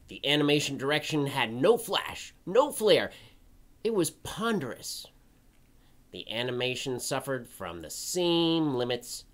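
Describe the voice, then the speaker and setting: Slow, swooping, pompous indignant crow puppet act. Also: mid-range voice, a bit bright. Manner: pompous, scornful, drawn-out emphatic delivery. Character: indignant, theatrical crow puppet ranting about anime. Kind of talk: dramatic reading of an old forum post.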